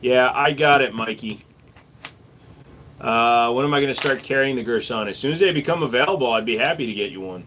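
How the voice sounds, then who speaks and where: A man who runs a gun shop, hosting a casual livestream where he reads viewer messages aloud and answers them in an offhand, relaxed way. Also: mid-range voice, fairly quick, slight background noise.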